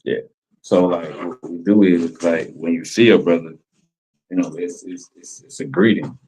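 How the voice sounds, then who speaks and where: A man's voice: sits low, steady tone, normal speed, darkish tone, slight background noise, poor audio. A man explaining greetings in a casual chat, laid-back, often asking "you know what I'm saying". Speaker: class member explaining greetings.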